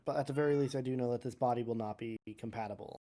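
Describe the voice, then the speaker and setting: A player voicing a calm, thoughtful adventurer in improvised game roleplay, explaining things in a measured, slightly hesitant way. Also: medium pitch, natural voice.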